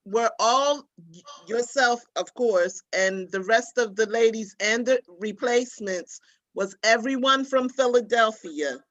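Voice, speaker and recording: A woman's voice: fairly high pitch, unhurried, fairly bright tone, highs cut off. A woman, a panelist asking polite, casual interview questions.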